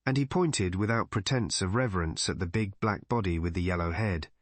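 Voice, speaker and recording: A man's voice: sits low, brisk, dry recording. Male storybook narrator, very dry sound. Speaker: audiobook narrator. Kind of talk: scripted literary narration of a mystery story.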